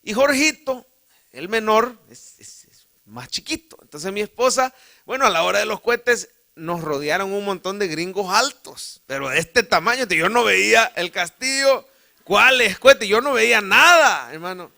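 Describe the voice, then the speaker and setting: A man's voice: sits high, animated, moderate pace, vocal fry, pushed and bright. A man with a Salvadoran accent preaching in church, telling a folksy, humorous personal story and calling his listeners brother. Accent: Salvadoran Spanish. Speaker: pastor. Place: church sanctuary.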